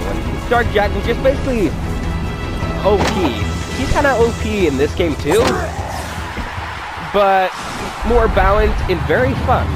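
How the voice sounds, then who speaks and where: Over loud game music, a man with a fairly high voice gives casual gameplay commentary. His pitch moves around a lot as he talks to viewers as host. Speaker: let's play host. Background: video game music and vehicle sounds.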